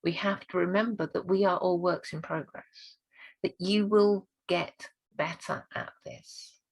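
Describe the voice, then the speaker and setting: A woman with a low, croaky voice, gravelly and husky from hoarseness, talks straight to camera as a speaking coach. Her delivery is earnest and encouraging. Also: steady tone, unhurried, a little mellow, poor audio. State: hoarse this week. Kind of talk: direct-to-camera training talk.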